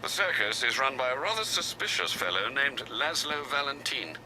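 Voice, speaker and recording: An actor playing a dutiful, refined older butler in a video game, formal and gravely concerned. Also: male voice, mid-range voice, big pitch swings, a loud crowd, lightly acted, low-quality recording. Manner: formal, deferential, measured, dryly understated. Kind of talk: video game cutscene acting.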